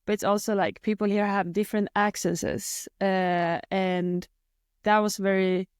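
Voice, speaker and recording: Swedish-accented young woman, smooth voice. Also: mid-range voice, little pitch movement, unhurried, no room sound, clean studio sound. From Sweden. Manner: hesitant, many fillers and restarts. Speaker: guest athlete. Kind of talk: casual interview chat.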